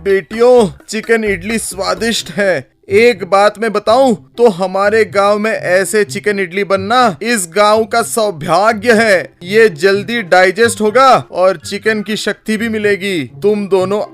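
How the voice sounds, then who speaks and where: A man's voice: high voice, a little slow, hardly any pauses, fairly bright tone. A man voicing an old village headman in a cartoon story, fatherly and wise but stern. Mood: approving. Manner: fatherly advising, scolding.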